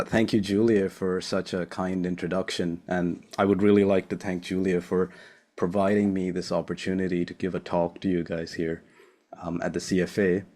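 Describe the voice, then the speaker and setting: A young man from Sri Lanka, a postdoc, giving a friendly, casual seminar talk over a remote call, with many filler pauses. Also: low-pitched, a little mellow. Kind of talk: academic seminar presentation.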